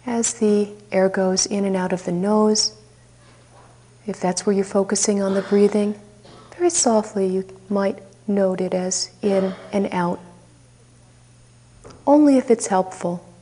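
A woman teacher leading a guided meditation, speaking softly and unhurriedly with long pauses. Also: mid-range voice, fairly even intonation, a little hiss or hum.

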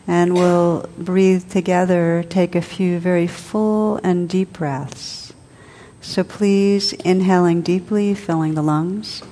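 A woman leading a guided meditation as a teacher, soothing and unhurried, speaking in short phrases with long pauses. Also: on the low side, steady tone, warm tone, slight background noise. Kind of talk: guided meditation, spoken to a group.

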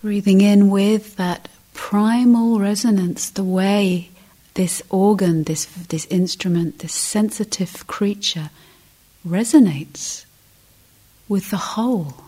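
Very slow, hushed woman guiding meditation. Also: medium pitch, fairly even intonation, breathy edge. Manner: unhurried, measured, in short phrases. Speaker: meditation teacher. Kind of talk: guided meditation, slow contemplative speech with long pauses.

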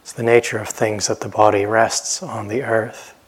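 A man teaching a guided meditation in a gentle, unhurried manner, leaving long pauses between phrases. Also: fairly low pitch, flat delivery, husky, rough recording.

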